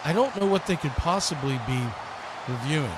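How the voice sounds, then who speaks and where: High-pitched American male sportscaster, noisy audio. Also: expressive, brisk. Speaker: sports radio host and play-by-play commentator. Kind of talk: live American football play-by-play and casual chat.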